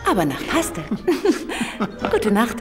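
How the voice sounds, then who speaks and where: Chirpy sandwich lady, bouncy voice, clear music behind. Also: mid-range voice, very animated, slow. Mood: teasing. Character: chirpy woman delivering sandwiches.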